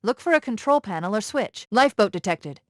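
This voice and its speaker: A woman voicing a quick-witted female military AI companion in a video game, crisp, analytical and commanding, calm but urgent. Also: medium pitch, no echo, studio quality, close to an everyday voice. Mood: brisk, focused.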